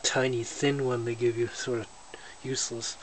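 A man casually showing off his snowmobile in a garage, talking in a relaxed, matter-of-fact way while filming with a handheld camera. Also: medium pitch, moderate pace. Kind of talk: casual walkaround narration. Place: indoors, a garage or shed with windows. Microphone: handheld camera held by the speaker. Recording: noisy handheld camera audio.

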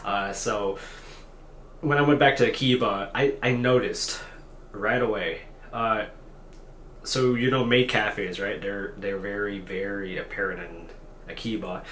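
A man's voice: fairly low pitch, fairly even intonation, a little slow, fairly bright tone, a little hiss or hum. A tired man in his twenties talking unscripted to a camera in a small hotel room, rambling and self-deprecating with lots of fillers and restarts. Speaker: vlogger. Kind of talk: unscripted talking-head vlog. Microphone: camera at desk distance. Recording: echoey room with faint ambience.

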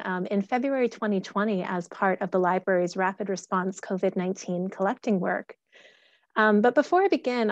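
An American woman presents an informal talk from a small room, her steady voice explaining things with a few fillers. The sound is dry and close, picked up by headset earphones. The call audio comes through dull and lo-fi. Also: mid-range voice, no echo. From Pennsylvania, USA. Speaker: archivist giving a webinar talk. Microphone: headset earphones on video call.

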